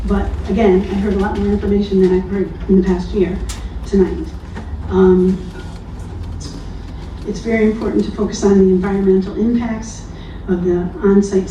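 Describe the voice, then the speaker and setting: A woman from New Lebanon, New York, reading a prepared public comment in a measured, earnest way at a town hearing. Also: mid-range voice, mellow, slight background noise. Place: town hall meeting room. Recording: echoey distant lo-fi hall recording.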